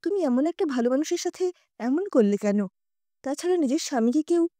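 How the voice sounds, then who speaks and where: An anxious young mother voiced by a woman narrator in an audio story. She is tender-hearted, earnest and emotional, with a mellow tone and expressive pitch. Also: a little fast, no room sound, studio quality. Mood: shocked, questioning.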